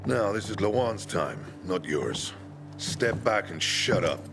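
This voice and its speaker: An actor playing a gruff, commanding older veteran leader in a video game cutscene, blunt and gravelly. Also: male voice, fairly low pitch, big pitch swings, takes it slowly, music in the background, heavily acted.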